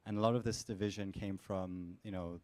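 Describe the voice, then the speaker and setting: A very low-voiced man answers as a panelist, setting out his research findings in a measured way with frequent "you know"s and "um"s. The sound is close and dry, with little room echo. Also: fairly even intonation, a little mellow. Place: conference hall panel.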